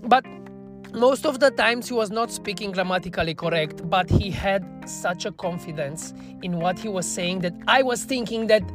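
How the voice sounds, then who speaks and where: Woman, Romanian accent, non-native English, earnest, mid-pitched voice, faint music behind. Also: moderate pace. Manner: earnest, self-reflective, non-native phrasing. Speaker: bar trainer, podcast guest. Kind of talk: candid podcast storytelling.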